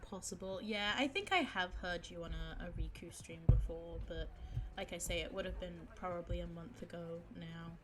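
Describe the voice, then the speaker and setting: A young woman streamer talks casually with her viewers and laughs at herself a lot. Her voice sits fairly low for a woman and sounds a bit sore. Music plays clearly behind her. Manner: chatty, giggly, self-mocking. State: sore throat.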